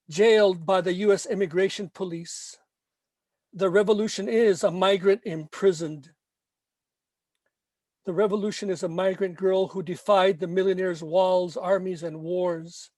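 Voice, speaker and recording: A man reading his own protest poem in a slow, emphatic, chanting way, after brief spoken remarks with some hesitation. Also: high voice, a little mellow, no room sound. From Mexico. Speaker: poet. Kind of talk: poetry reading.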